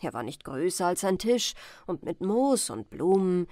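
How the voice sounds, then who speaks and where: A woman reading a bedtime fairy tale as a storyteller, in a gentle, expressive manner. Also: on the low side, a little slow, no room sound, clean studio sound.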